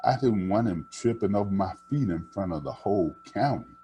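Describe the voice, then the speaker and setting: A man's voice: lowish voice, expressive, moderate pace, dark tone, a little hiss or hum, close to an everyday voice. A man voicing a sassy, scornful young girl in a storybook, with exclamatory folksy talk.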